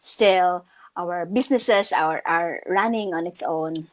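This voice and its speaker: A woman's voice: on the low side, slow pace. A woman from the Philippines co-hosting a casual online business chat, chatty and upbeat with frequent fillers and restarts. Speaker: co-host. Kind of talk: casual live-stream chat.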